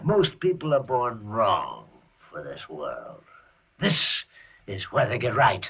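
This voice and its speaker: An actor playing an aging, self-important mad doctor in a 1950s radio drama, excitable and theatrical. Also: male voice, fairly low pitch, slow, gravelly, breathy edge, heavily acted. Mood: gleeful zeal. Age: older. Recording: dull vintage radio recording, music behind.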